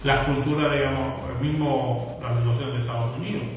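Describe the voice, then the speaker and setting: A man from Latin America giving a measured lecture, often adding tag questions and pausing between phrases. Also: medium pitch, steady tone, slight background noise. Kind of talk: recorded lecture. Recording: echoey distant room recording, dull.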